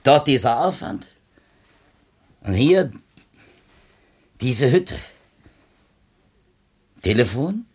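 A man's voice: medium pitch, sing-song, very slow, pauses often, darkish tone, slightly rough, heavily acted. An actor playing a reflective, educated publishing editor in a 1970s East German radio play, searching for words as he talks. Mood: indignant, concerned. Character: thoughtful, educated male publishing editor, curious and uneasy.

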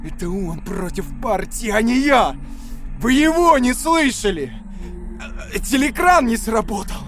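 An actor in an audio drama playing a terrified, craven male prisoner who begs desperately in drawn-out pleas. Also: very high-pitched, lively intonation, over music. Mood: frantic accusing. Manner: pleading, drawn-out, breaking into cries and shouts.

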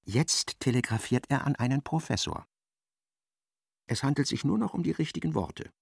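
An actor narrating a literary radio play, an expressive and ironic storyteller. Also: male voice, medium pitch, moderate pace, gravelly, slightly rough, dry recording, clean studio sound. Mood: wry narration. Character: wry literary narrator of a novel, male.

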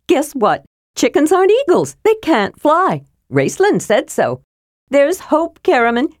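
A woman voicing a small, cheerful, innocent male kender in a fantasy storybook, shrill and eagerly rambling. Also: huge pitch swings, moderate pace, a little mellow, no room sound, clean studio sound. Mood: joyful. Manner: rambling, eager, breathlessly enthusiastic.

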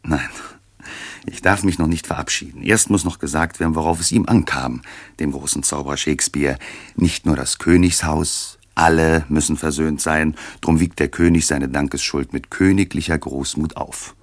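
A man with a very deep voice narrates a radio play. He tells the story in a measured, expressive manner, in a dry studio sound. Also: no room sound.

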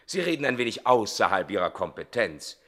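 An actor playing a fussy, slow-witted landlord in a 1960s German radio comedy, formal, pedantic and easily affronted. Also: male voice, fairly low pitch, sing-song, a bit bright. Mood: indignant.

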